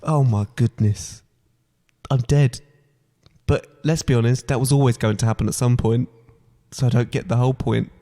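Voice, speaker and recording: A man's voice: medium pitch, steady tone, moderate pace, long pauses, dark tone, no room sound, studio quality, voice pushed down, natural voice. A man playing a clueless, cheerful comic Scrooge, hesitant and flippant, as if improvising a pantomime. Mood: resigned.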